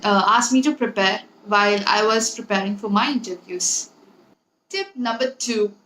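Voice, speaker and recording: High-pitched young woman, echoey vlog. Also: fairly bright tone. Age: young adult. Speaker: YouTube host giving interview tips. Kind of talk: casual scripted advice vlog. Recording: echoey room with background noise.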